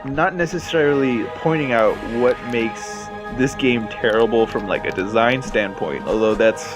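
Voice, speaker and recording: A man chats casually as he co-commentates a video game, with an average pitch for a male voice. He is sarcastic and crude, swearing freely, with clear game music playing behind him. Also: moderate pace. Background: loud game music and effects.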